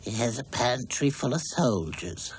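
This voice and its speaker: A man voicing a sly, unhurried female slug with a sing-song way of talking. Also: medium pitch, animated, slight background noise, lightly acted.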